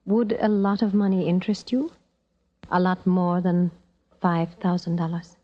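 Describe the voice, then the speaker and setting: An actress playing a teasing, guarded young secretary in an old-time radio drama. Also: female voice, fairly low pitch, flat delivery, measured pace. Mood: cool, probing. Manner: cool, teasing, knowing. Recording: dull vintage radio recording.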